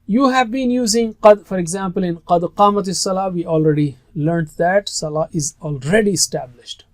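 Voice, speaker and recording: A man's voice: sits high, fast, dry recording, studio quality. A man whose first language is Urdu, teaching a recorded lesson on Quranic Arabic in an earnest, emphatic way that stresses key words.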